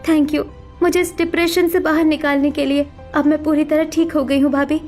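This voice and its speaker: A woman's voice: high-pitched, little pitch movement, normal speed, darkish tone, faint music behind, natural voice. A woman voicing a sensitive young sister-in-law with earnest emotion in cartoon story dialogue. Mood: grateful, emotional.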